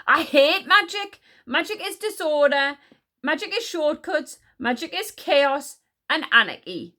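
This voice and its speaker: A haughty warrior queen from a storybook, acted out by a woman reader. Her voice is high and forceful, with an imperious, indignant snap. She is hot-tempered and contemptuous. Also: lively intonation, unhurried, heavily acted. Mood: furious.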